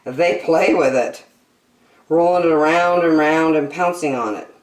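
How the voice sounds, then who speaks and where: A woman's voice: deep voice, measured pace, roomy sound, poor audio. A woman hosting story time, reading a picture book aloud to young children expressively, with chatty asides and questions.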